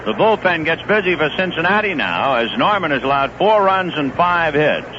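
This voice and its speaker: A man calling baseball play-by-play on the radio in a conversational, lively manner. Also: a little high, moderate pace. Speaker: play-by-play announcer. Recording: dull 1970s radio broadcast with hiss.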